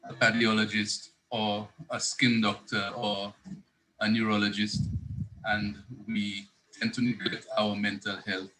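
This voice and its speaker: A man's voice: lowish voice, flat delivery, a little slow, bright, forceful tone, slight background noise, poor audio. A man, a psychiatrist explaining mental health in an interview and earnestly rephrasing his points as he goes. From Saint Lucia. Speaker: psychiatrist guest.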